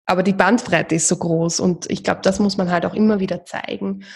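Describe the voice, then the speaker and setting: A woman's voice: lowish voice, fairly even intonation, a little fast, gravelly, slightly rough. A woman who runs a charity association, answering interview questions hesitantly with many fillers and restarts.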